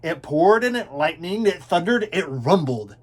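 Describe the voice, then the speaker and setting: A man's voice: fairly high pitch, very animated, measured pace. A man reading a children's story in rhyming verse, with theatrical delivery that dwells on key words. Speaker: storybook reader.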